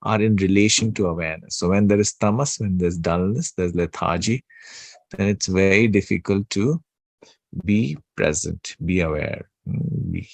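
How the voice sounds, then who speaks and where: Male spiritual teacher, deep soothing voice, dry close sound, halting delivery. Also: a little mellow, dry recording. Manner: slow, pausing, many fillers and restarts. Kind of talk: unscripted spiritual talk.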